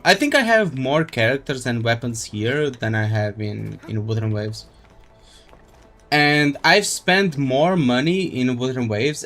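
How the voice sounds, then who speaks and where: A man live streaming a game, chatting casually in a halting, rambling way as he thinks aloud. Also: mid-range voice, a bit bright. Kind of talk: casual gaming stream chat.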